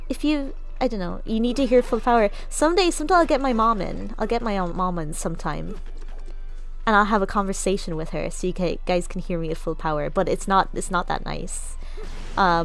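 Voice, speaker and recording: A young woman from Cork with a softened Irish accent, chatting casually to her viewers while she plays and reads in-game text, repeating herself and laughing often. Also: mid-range voice. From Ireland, Cork. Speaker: streamer. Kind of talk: casual gaming stream chat. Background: faint game music.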